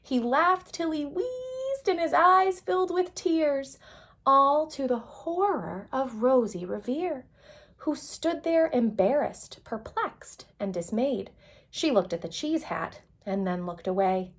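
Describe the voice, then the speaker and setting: A woman's voice: high voice, lively intonation, slow pace. A woman reading a children's picture book aloud as a storyteller, expressively and with dramatic emphasis.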